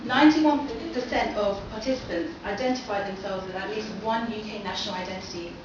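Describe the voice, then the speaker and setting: A woman's voice: medium pitch, fairly quick. Young woman presenting in an echoey hall. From London. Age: young adult. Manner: poses many questions to the audience. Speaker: seminar presenter. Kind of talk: academic presentation. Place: lecture hall. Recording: echoey hall from far off, dull and noisy.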